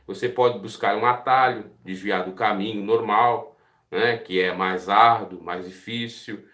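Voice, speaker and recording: A man's voice: lowish voice, steady tone, a little slow, fairly bright tone, echoey room. A man with a Brazilian Portuguese accent giving a devotional Bible teaching, earnest and putting direct questions to his listeners. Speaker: preacher.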